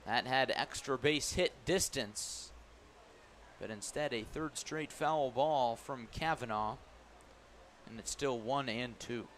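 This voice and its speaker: An American man doing live radio baseball play-by-play, building excitement as the action unfolds. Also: mid-range voice, slow pace, slight background noise.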